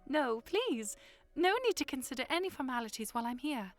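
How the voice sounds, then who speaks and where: Woman playing a high-voiced, gracious young princess. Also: lively intonation, fairly quick, faint music behind. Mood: politely reassuring. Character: gracious, modest young princess, courteous and reassuring. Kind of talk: audio drama acting.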